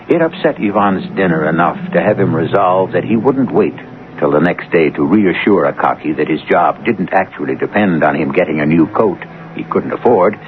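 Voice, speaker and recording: Deep, gravelly radio drama narrator, a man. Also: slight background noise. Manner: measured, theatrical, ironic. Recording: tinny old radio broadcast recording. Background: music.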